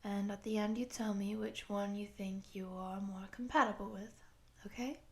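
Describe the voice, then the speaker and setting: A woman playing a courteous exchange program coordinator, speaking to the listener in an orderly, measured way in an audio roleplay. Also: mid-range voice, flat delivery, lightly acted. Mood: soft, businesslike.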